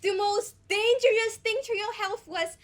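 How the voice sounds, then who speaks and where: A girl playing a timid, halting young woman. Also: very high voice, fairly even intonation. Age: teens. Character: shy anxious young woman auditioning. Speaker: student actor. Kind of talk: school stage play acting.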